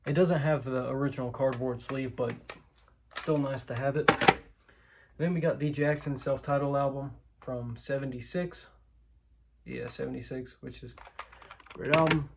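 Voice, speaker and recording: Man, medium pitch, casual show-and-tell host, informal, self-correcting, noisy recording with clicks. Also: fairly even intonation, normal speed. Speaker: host, collector showing their collection. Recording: clear background noise with clicks and clatter.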